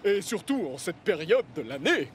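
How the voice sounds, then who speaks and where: A man voicing a busy, good-natured junkyard machine in a French cartoon dub, with a jovial, animated manner. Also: high-pitched, normal speed, a little hiss or hum. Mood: breezy, busy.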